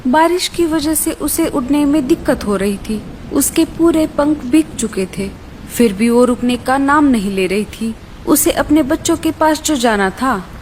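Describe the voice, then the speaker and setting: A woman's voice: high-pitched, expressive, measured pace, a little mellow, a little hiss or hum, poor audio. A woman narrating a scripted children's story.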